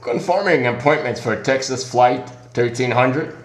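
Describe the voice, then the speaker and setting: A man making a prank phone call as an airline agent, with polite but halting scripted patter. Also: medium pitch, echoey room. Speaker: prank caller.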